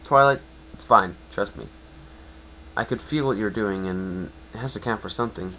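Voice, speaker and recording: Man as a low-voiced, wry winged pony. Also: normal speed, bright, forceful tone, a little hiss or hum, low-quality recording. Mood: reassuring. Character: guarded, wry male winged pony with damaged wings. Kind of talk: amateur dramatic reading of fan fiction.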